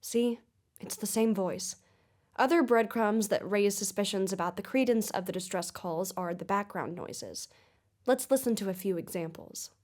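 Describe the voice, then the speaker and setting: A woman playing a composed investigative podcast host, with measured, formal delivery in a scripted audio drama documentary. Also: mid-range voice, a little mellow, natural voice. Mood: measured, serious.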